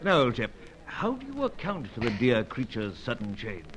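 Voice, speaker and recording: A man playing a stuffy, excitable older British knight in a pulp radio serial, blustering and stammering in upper-class old-chap phrases. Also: medium pitch, normal speed, slight background noise. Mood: shrewd, measured.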